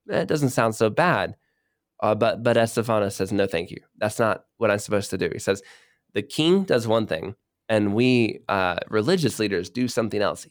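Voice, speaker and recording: A man co-hosting a podcast, telling a history story in a casual, lively way and voicing the people in it. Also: lowish voice, dry recording, clean studio sound. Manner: animated retelling with voiced quotes and fillers.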